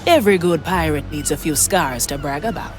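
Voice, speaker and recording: An actress playing a bold, inquisitive young pirate navigator with a cheeky manner in a video game. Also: female voice, deep voice, animated, a little fast. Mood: cheeky, determined. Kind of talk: video game character acting.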